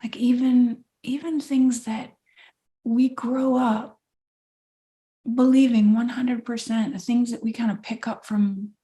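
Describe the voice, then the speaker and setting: A woman co-hosting a podcast, talking in a slow, thoughtful, reflective way and searching for words. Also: on the high side, fairly even intonation, warm tone. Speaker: podcast co-host and coach. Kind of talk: reflective casual chat.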